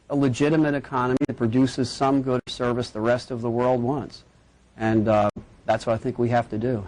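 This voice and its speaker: A man answering as a guest on a political call-in show, composed and deliberate. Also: mid-range voice, darkish tone, a little hiss or hum, rough recording.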